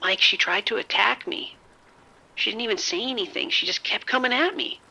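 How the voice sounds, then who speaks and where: An actor playing a frightened, bitten man who is falling sick, halting and whispery in a horror audio drama. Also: sits high, gravelly, pushed and bright, breathy edge, exaggerated voice, rough recording. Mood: shaken, distressed. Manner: halting, whispery, repeating himself. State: sick, weak, faltering. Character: frightened, sickening bitten man, unravelling and rambling.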